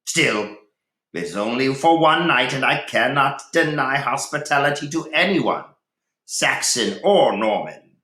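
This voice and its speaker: A man's voice: mid-range voice, animated, unhurried, pushed and bright, roomy sound, voice pushed up. A man voicing a proud, disdainful Saxon lord in a storybook read-aloud, declaiming grudgingly. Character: gruff, proud Saxon lord, disdainful yet dutifully hospitable.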